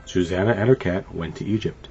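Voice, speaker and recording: Guy with a lowish voice narrating a kids storybook, super expressive, bit lo-fi with music behind. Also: brisk. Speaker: storybook reader. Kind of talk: scripted children's story narration. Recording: dull lo-fi sound. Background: light music.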